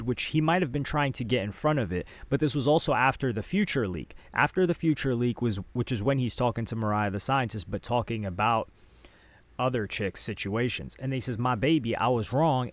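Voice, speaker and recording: An American man with a mid-range voice presents celebrity gossip commentary, reading posts out loud between his own remarks. The sound is dry, with very little room in it. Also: medium pitch, dry recording.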